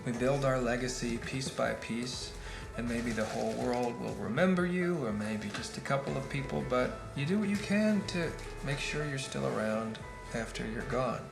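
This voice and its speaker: A man's voice: mid-range voice, moderate pace, gravelly, hardly any pauses, natural voice. An actor playing a rambling, fatalistic party guest who holds forth philosophically in a naturalistic film monologue, building his argument step by step in a conversational lecture. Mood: musing. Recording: roomy film dialogue with music behind. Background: music.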